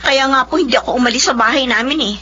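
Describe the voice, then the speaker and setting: Casting: outspoken young Filipino daughter, high-pitched, radio drama, lo-fi recording with hum. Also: female voice, quick pace, bright, forceful tone. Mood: annoyed, worried. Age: young adult. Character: young adult daughter, outspoken, protective, indignant. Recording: dull lo-fi audio, clear hum.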